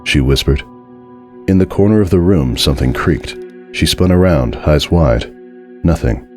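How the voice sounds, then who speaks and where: A man giving a dramatic, scripted narration of a horror story in a measured, deliberate and suspenseful way. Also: extremely low pitch, animated, a little mellow, faint music behind.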